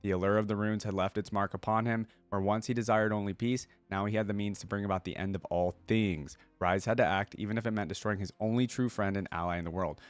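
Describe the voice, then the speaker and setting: Low-pitched man, informal and dry-sounding. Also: fairly even intonation, quick pace, hardly any pauses, no room sound. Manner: informal, chatty. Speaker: host of a reaction channel reading game lore aloud. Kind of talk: reading stories aloud with casual reaction commentary.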